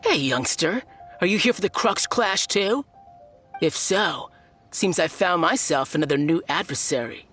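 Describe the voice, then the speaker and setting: A woman's voice: fairly low pitch, lively intonation, a little slow, a bit bright, faint music behind. A woman playing a smug, boastful overachiever in a video game, airy and self-assured. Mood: cocky. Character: smug, self-satisfied overachiever entering a fighting tournament. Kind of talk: video game character acting.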